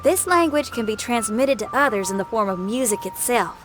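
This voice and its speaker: A woman as a bold, high-voiced young rocker. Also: lively intonation, brisk, close to an everyday voice. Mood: challenging. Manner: casual, confident, down-to-earth. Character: bold, streetwise young female rock musician adventurer. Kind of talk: English video game voice acting. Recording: music behind the voice.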